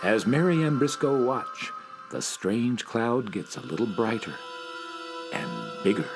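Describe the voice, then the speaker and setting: Animated man narrating a children's story. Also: fairly low pitch, measured pace, creaky, over music, low-quality recording. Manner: addresses young listeners directly.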